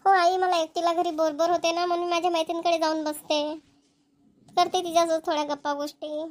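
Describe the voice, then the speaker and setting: A woman's voice: very high-pitched, flat delivery, a little fast. A woman voicing an earnest, stubborn young village woman in a Marathi cartoon, with a rural Maharashtrian accent. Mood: explaining. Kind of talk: amateur cartoon comedy-drama dubbing.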